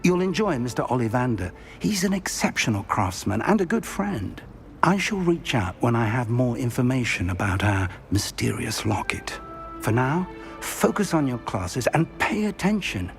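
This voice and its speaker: A man's voice: medium pitch, big pitch swings, gravelly, soft background music. An actor playing an older male professor in a video game, a formal, kindly mentor with a British accent. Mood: encouraging. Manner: measured, formal, kindly instructive.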